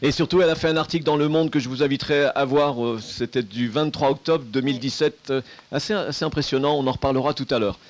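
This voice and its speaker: A man's voice: mid-range voice, quick pace. A man moderating a round-table discussion, introducing guests casually with joking asides and many restarts. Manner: hesitant, repeats words, joking asides. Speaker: moderator of a panel.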